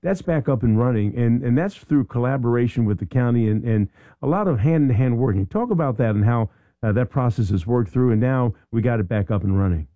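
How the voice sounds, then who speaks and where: A man's voice: mid-range voice, expressive, brisk, no room sound, phone-quality sound. A middle-aged man hosting a radio talk show in a conversational interview style.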